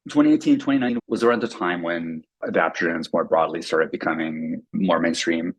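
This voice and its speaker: A man whose first language is Finnish, speaking as a company co-founder in a measured, explanatory podcast interview. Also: sits low, slightly rough.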